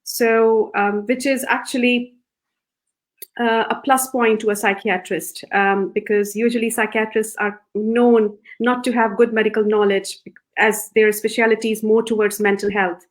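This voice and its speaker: A middle-aged woman from Pakistan, a psychiatrist guest, talking softly and gently with frequent hesitations. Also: on the high side, flat delivery, a little slow. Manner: soft, gentle voice with many hesitations. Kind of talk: interview answers.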